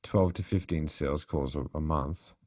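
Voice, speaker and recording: A man's voice: rumbling low, moderate pace, a little mellow, no room sound, studio quality. A man on a recorded sales coaching call, talking conversationally and asking probing questions. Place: recorded call, roomy sound.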